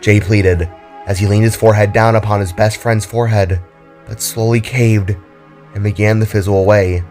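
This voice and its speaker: Male story narrator, lower register, dramatic scripted read, music underneath. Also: fairly even intonation, moderate pace, a little mellow.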